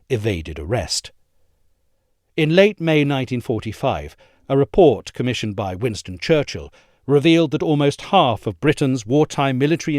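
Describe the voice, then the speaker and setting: A man reading a history essay aloud in a measured, expressive storytelling manner, close to a studio mic. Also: mid-range voice, no room sound. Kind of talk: scripted narration.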